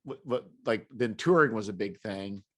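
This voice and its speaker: A man's voice: fairly low pitch, normal speed. A man hosting a podcast in casual conversation, feeling his way through rambling, self-correcting sentences. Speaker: podcast host.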